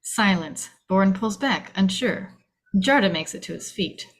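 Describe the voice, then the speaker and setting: A woman narrates the action of a screenplay. Her voice is of average female pitch. She reads stage directions dramatically, in short, punchy, clipped phrases. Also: animated, a little slow.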